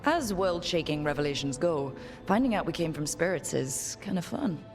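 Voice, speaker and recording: A calm, reasonable female hero in a fantasy game, with a low voice for a woman. She sounds thoughtful and reassuring, with light music underneath. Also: normal speed. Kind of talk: video game dialogue acting.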